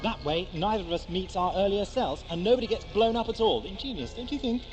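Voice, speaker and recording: An actor playing an eccentric, theatrical time traveller in an audio drama, brisk and self-mocking. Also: male voice, sits high, lively intonation, over music, rough recording. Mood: excited, whimsical. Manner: theatrical, expounding, exclamatory. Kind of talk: 1980s amateur audio drama acting.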